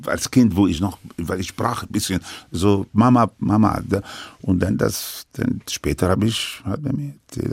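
Older male interviewee, French first language, deep husky voice, dry close sound, halting delivery. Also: a little mellow, dry recording. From Lebanon. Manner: halting, with many restarts and broken grammar. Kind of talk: recounting his life story.

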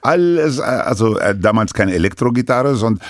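An older man who grew up speaking French in Lebanon, recounting his life story in halting, broken sentences full of restarts. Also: medium pitch, expressive, no echo, studio quality. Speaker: interviewee.

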